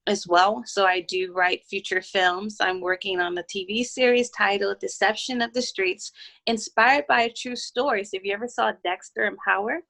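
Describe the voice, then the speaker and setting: A woman from Baltimore, Maryland, talking as an interview guest in a steady, self-assured way. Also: medium pitch, moderate pace, creaky. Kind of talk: casual interview talk.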